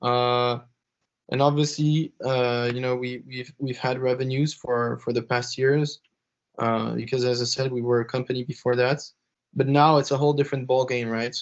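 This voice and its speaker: A young man, half French and half Lebanese, answering interview questions haltingly with many fillers and restarts. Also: medium pitch, flat delivery. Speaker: interview guest, blockchain executive. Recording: slightly dull podcast audio.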